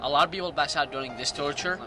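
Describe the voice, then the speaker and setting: A man in his late teens from Iraq with a Middle Eastern accent gives personal testimony in halting, non-native English. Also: on the high side. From Iraq, Jordan and Syria. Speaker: documentary interview subject. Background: music.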